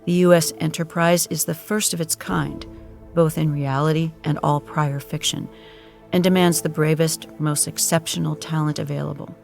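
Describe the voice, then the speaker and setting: A man's voice: on the high side, little pitch movement, normal speed, gravelly, soft background music. A man reading scripted, dramatic narration as the narrator of an audio drama.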